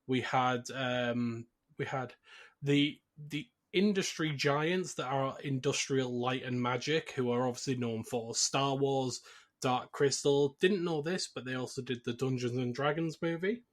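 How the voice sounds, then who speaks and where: A man's voice: medium pitch, a little slow. Man hosting a casual podcast, wryly informal. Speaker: podcast host. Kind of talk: casual film discussion and plot recap.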